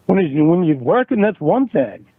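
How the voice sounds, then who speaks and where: A man in a recorded phone argument, talking in a halting, defensive way and repeating words as he restarts. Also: on the high side, very animated, slightly rough, no echo. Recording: tinny phone line recording.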